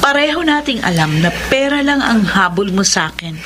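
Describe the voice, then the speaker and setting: An actress playing a proud, bitter young pregnant woman with sharp scorn, in Filipino radio soap style. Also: medium pitch, animated, fairly quick, lightly acted. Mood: scornful, defensive. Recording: noisy radio drama with ambience.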